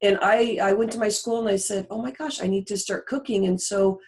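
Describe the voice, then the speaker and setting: A woman's voice: mid-range voice, fairly even intonation, a little fast, darkish tone. A woman talking casually as a podcast guest, effusive and encouraging in manner. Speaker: podcast guest, coach and author. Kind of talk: casual interview talk.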